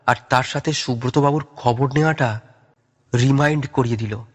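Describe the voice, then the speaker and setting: A man's voice: mid-range voice, normal speed, slightly rough. A man narrating a scripted audio story in a dramatic storytelling style.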